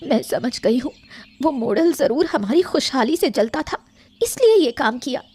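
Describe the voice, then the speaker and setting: A woman's voice: high voice, lively intonation, moderate pace, a little mellow, slightly rough. A woman voicing a fisherman's young wife in a cartoon, who speaks in a worried, earnest way.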